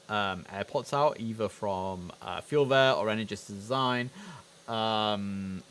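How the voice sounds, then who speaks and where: A man hosting a casual game livestream, chatting away and slipping into clipped air traffic radio phrasing. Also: mid-range voice. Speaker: livestream host. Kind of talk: casual game streaming commentary. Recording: steady background noise.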